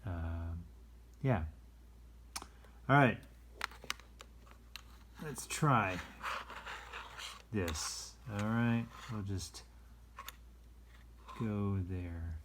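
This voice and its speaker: Male storytime reader, low register, very unhurried, easygoing and chatty with explanatory asides. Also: background noise. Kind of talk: casual read-aloud with chatty asides.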